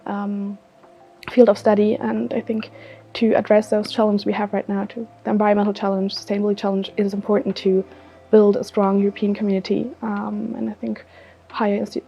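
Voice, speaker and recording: A woman's voice: medium pitch, creaky, warm tone. A young woman from Germany, a master's student, speaks in a hesitant, unscripted way with many fillers and restarts. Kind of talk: unscripted testimonial.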